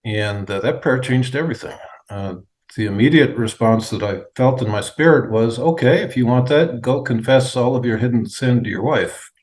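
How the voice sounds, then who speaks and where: A man in his fifties from the United States, telling his story in an interview in an earnest, measured way, with many fillers and restarts. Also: mid-range voice, darkish tone. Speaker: guest, charity founder. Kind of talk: reflective testimony in an interview.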